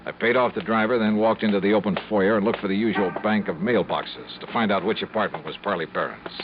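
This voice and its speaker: An actor playing a wry, dogged insurance investigator who also narrates, in 1950s radio drama style. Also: male voice, on the low side, quick pace, close to an everyday voice. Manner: dry, needling questions. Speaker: narrator and lead. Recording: dull, hissy old radio broadcast.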